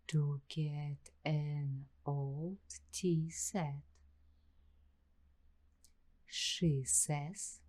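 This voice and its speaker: A woman playing a nervous, curious young waitress in an ASMR roleplay, speaking softly and breathily in short, halting phrases. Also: low voice, exaggerated voice. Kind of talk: ASMR roleplay, slow scripted monologue.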